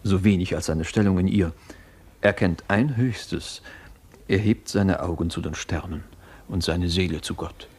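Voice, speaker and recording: An actor playing a dignified, loyal court official in a classical radio drama, with a formal, earnest, declamatory delivery. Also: male voice, low-pitched, measured pace, a little mellow, husky, full character voice. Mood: earnest pleading.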